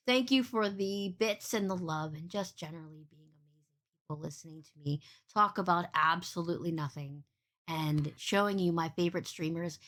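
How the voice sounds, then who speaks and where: A woman hosting a casual livestream podcast, rambling cheerfully and swearing freely, with a big boomy voice. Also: fairly bright tone. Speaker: podcast host and streamer.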